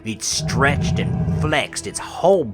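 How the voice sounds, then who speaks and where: Man acting a slow-talking, shaken American trucker. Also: mid-range voice, expressive, music in the background. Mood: tense, haunted. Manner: folksy, coarse, rambling storytelling. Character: shaken, defensive trucker confessing a horrifying roadside encounter. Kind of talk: dramatic first-person horror audio monologue.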